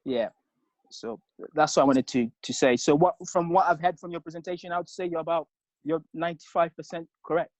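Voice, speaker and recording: A man's voice: on the high side, steady tone, fairly quick, darkish tone, dry recording. A man from Zambia contributing to an online webinar Q&A, hesitant with many fillers. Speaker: webinar attendee. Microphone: video call. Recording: dull lo-fi video call audio.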